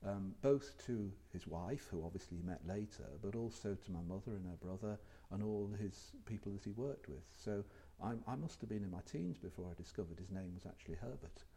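Older male ex-lecturer, deep husky voice, thoughtful and halting. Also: warm tone. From England. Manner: hesitant, reflective, many ums. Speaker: retired lecturer being interviewed. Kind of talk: oral history interview.

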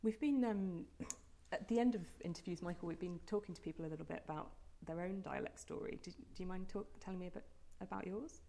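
A woman's voice: lowish voice, quick pace, vocal fry, warm tone. A woman interviewer asking brief prompting questions. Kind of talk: oral history interview.

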